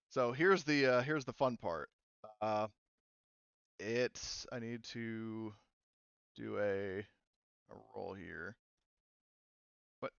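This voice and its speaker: A man's voice: lowish voice, drawn-out words, vocal fry, pauses often, fairly bright tone, smooth, dry recording, highs cut off. A man running a tabletop game as game master, rambling and restarting, with lots of fillers.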